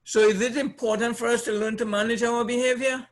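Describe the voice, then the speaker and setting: A man's voice: very high voice, little pitch movement, a little fast. A man from Trinidad with a Trinidadian accent, presenting an informal seminar in a conversational way, repeating phrases and putting questions to his listeners. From Trinidad, Caribbean. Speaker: presenter, trainer. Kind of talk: informal seminar teaching to a live audience.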